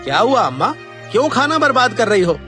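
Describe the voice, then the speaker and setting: A callous grown son from a cartoon moral story, acted by a man. The male voice is pitched high and comes out irritable and dismissive. Music plays clearly behind it. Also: sing-song, brisk, sharp tone, full character voice. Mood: irritated. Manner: dismissive, scolding.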